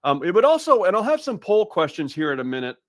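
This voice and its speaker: A man's voice: high voice, big pitch swings, fast talker, dry recording, clean studio sound. Chatty upbeat man hosting a webinar. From Massachusetts, USA. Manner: chatty, upbeat, lots of fillers and restarts. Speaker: webinar host. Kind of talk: live webinar presentation.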